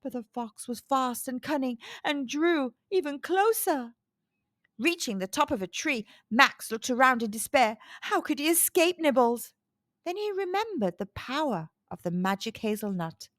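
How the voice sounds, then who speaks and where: A woman narrating a scripted children's storybook in an expressive, measured storytelling manner. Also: high-pitched, dry recording, studio quality.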